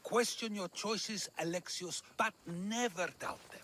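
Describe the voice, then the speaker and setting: A man acting a witty, philosophical ancient Greek sage in a video game, speaking in a measured, eloquent way. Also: high-pitched, bright, forceful tone, a little hiss or hum. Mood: solemn, consoling.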